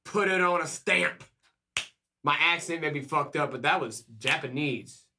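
A man's voice: a little high, measured pace, slight background noise. A man from Indiana, co-hosting a podcast in crude, joking banter. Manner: crude, joking, loud bursts. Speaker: podcast co-host. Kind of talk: casual banter.

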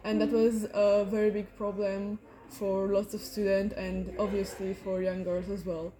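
A woman from Romania speaks in quick bursts broken up by fillers. Her voice is mellow and relaxed, with a middle pitch. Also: low-quality recording. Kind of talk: interview answers.

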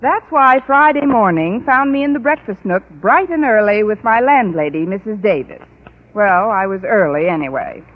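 A woman's voice: mid-range voice, expressive, normal speed, close to an everyday voice. An actress playing a wry, sardonic woman English teacher in an old radio comedy, with dry wisecracking delivery. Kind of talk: 1940s-50s radio comedy acting. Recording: muffled, noisy old radio broadcast recording.

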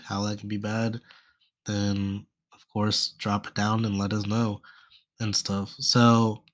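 A young man hosting a solo podcast in a casual, rambling way, his voice congested from a stuffy nose. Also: fairly low pitch. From United States. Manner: rambling, many fillers like "and stuff". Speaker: podcast host.

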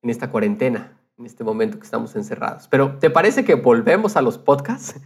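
A man's voice: medium pitch, very animated, a little fast. A man speaking casually as a podcast guest, explaining his thoughts in a reflective way. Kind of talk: casual conversation.

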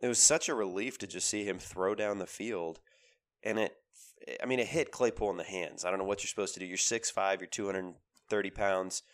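A very low, smooth male voice, thickened by a cold. He co-hosts a casual sports podcast and talks in an animated, emphatic way, often repeating his points. He sounds like a man in his thirties. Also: brisk. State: has a cold, voice lower than usual. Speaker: podcast co-host.

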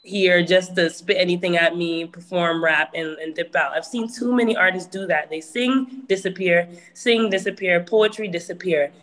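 A woman's voice: fairly low pitch, fairly even intonation, moderate pace, hardly any pauses, fairly bright tone, low-quality recording. A woman musician giving casual advice about promoting music, chatty and full of fillers like "you know".

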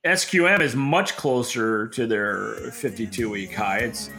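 A man's voice: mid-range voice, measured pace, over music. A man co-hosting a podcast, giving casual market commentary in a blunt, wry way. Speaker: podcast co-host.

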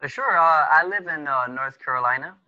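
A man in his thirties whose first language is Spanish talks casually as a podcast guest, his voice bright and forceful. He speaks haltingly, with frequent fillers and restarts. The sound is dry, with almost no room. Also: fairly high pitch, dry recording, highs cut off. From Spanish-speaking country. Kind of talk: casual conversation.

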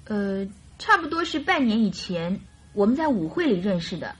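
An actress playing a chatty, naive and carefree young bride in a radio drama, with girlish, animated complaining and coaxing. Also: medium pitch, normal speed, husky. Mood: exasperated, chatty.

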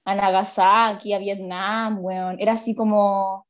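A woman's voice: medium pitch, unhurried. A woman in her twenties with a Chilean accent, telling a story in a casual chat, full of slang and laughter. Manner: animated, slangy, laughs often.

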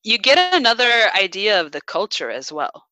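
A woman's voice: medium pitch, wildly swooping pitch, normal speed, sharp tone, no echo. A young American woman chatting casually, self-deprecating and full of "like" fillers. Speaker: conversation partner. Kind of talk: casual chat.

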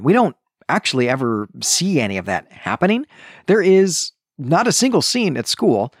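An American man in middle age talks as a podcast host giving a book review. His pitch moves up and down with enthusiasm around an average register. The sound is dry, with no room on it, and his delivery is discursive and conversational. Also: breathy edge, dry recording. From suburb of Chicago, US Midwest. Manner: enthusiastic, discursive, often checks in with "right?".

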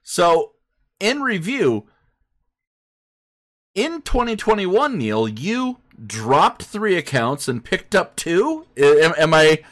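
A man co-hosting a podcast in a casual chat, often pausing between phrases. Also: high voice, wildly swooping pitch, fairly bright tone. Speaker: podcast co-host.

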